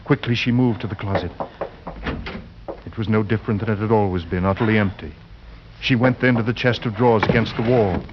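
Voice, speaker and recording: A man narrating a suspense tale as a radio actor, grave and deliberate in a theatrical 1940s style. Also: lowish voice, background noise, lightly acted. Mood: suspenseful. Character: grave, ominous male storyteller of a horror tale. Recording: muffled 1940s radio recording with music.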